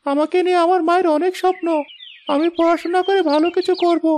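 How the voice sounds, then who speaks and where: A woman's voice: very high-pitched, steady tone, fast, a little mellow, a little hiss or hum. A woman voicing an earnest, dutiful schoolboy from a poor family in a cartoon moral story. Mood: earnest, determined.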